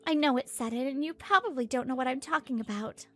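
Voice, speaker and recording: A woman's voice: high voice, fast talker, soft background music. A woman voicing an earnest pregnant wife who narrates a manga dub, fed up but hopeful.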